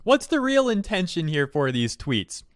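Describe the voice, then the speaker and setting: A man's voice: high voice, sing-song, normal speed, no echo, clean studio sound. A man hosting an opinionated online commentary, talking in a sarcastic, mocking way full of pointed rhetorical questions. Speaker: YouTube commentary host. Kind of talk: opinionated online commentary, reading out tweets and reacting.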